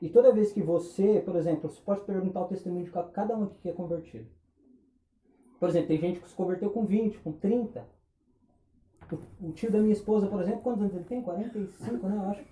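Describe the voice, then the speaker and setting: Man, 20s, preacher, rhetorical questions, warm tone, echoey room. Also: high-pitched, quick pace. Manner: impassioned, rhetorical questions, swings between loud and hushed. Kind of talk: sermon.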